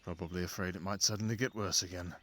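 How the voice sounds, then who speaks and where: Man playing a low-voiced, grumbling fox familiar. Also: steady tone, quick pace, fairly bright tone, a little hiss or hum. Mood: grudging, subdued. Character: male fox familiar, watchful, grudgingly concerned, jealous. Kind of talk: ASMR fantasy roleplay acting.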